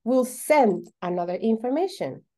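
A woman with a fairly high voice and a Venezuelan Spanish accent talks as a guest coach in an interview. She explains emphatically in halting second-language English, often doubling back on words as she builds her point. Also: animated, warm tone. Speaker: podcast guest, holistic coach.

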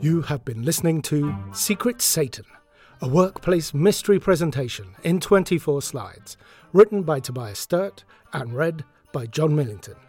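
A man's voice: fairly high pitch, animated, normal speed, a little mellow. A man giving a scripted closing announcement as the announcer of an audio story. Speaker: announcer reading the closing credits. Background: music.

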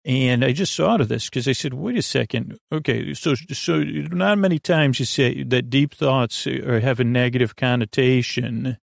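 A man with a gravelly, fry-laden voice at an average male pitch delivers a meandering bedtime monologue. He stumbles, repeats himself and doubles back as a sleep podcast host. The sound is close and dry. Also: no echo. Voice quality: creaky. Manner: meandering, halting, stammering with repeated false starts.

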